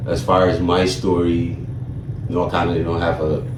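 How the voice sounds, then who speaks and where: Male guest, late twenties, from Ethiopia, deep voice, halting casual storyteller, recorded at a distance in a roomy space. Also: level tone. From Ethiopia, then Buffalo in the US from age eleven. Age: late 20s. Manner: halting, frequent "you know". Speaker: interview guest. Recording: roomy, distant mic, clear background noise.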